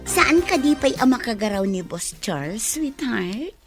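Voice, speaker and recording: An actress playing a schemer's sly, prying sweetheart and accomplice in an audio drama, coy in manner. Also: female voice, a little high, sing-song, measured pace, music in the background. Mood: prying, amused.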